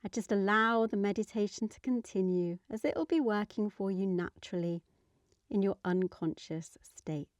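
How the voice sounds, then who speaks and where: A woman leading a guided meditation in a soothing, gentle manner, letting her phrases trail off softly. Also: medium pitch, normal speed, dry recording, clean studio sound.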